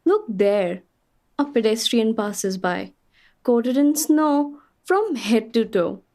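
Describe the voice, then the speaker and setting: High-pitched lady traveller telling her tale. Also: a little mellow. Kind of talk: radio play storytelling, reading a travel account.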